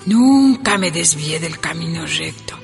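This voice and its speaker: Radio drama part: steadfast older woman leader of her people, slow, expressive. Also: deep voice, vocal fry, over music, heavily acted. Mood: defiant.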